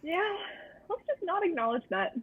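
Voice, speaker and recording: A woman's voice: very high voice, very animated, moderate pace. A woman co-hosting a livestream with casual, playful, dryly joking chat, heard through tinny, band-limited call audio. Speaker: livestream co-host. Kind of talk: casual chat, reacting to a story.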